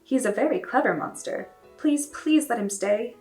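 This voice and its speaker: A woman's voice: lively intonation, normal speed, darkish tone, soft background music, natural voice. A woman voicing a young girl who pleads insistently to keep a monster. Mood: coaxing. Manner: high-pitched, pleading and insistent.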